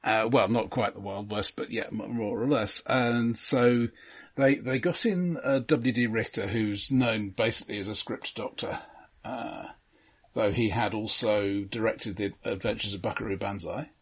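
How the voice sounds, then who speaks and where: A man co-hosting a casual film discussion, speaking haltingly and often stammering over repeated words. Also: fairly low pitch, slightly rough. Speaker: podcast co-host.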